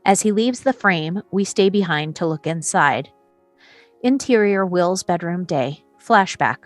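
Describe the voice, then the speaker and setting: Lower-voiced woman reading screenplay directions. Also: expressive, measured pace, no room sound, faint music behind. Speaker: stage directions reader. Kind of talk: scripted narration of screenplay action.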